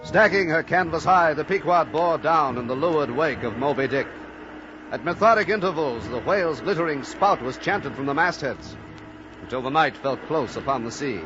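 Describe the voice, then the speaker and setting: An actor playing the solemn narrator of a sea tale, speaking in measured, literary, theatrical old-time radio style. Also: male voice, on the high side, lively intonation, natural voice. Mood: solemn suspense. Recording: muffled old radio recording. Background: music.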